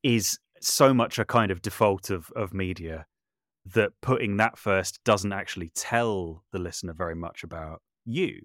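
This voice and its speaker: Low-voiced man in thoughtful conversation. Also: normal speed, fairly bright tone, no echo, studio quality. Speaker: podcast guest, audio drama maker and composer. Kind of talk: reflective interview conversation.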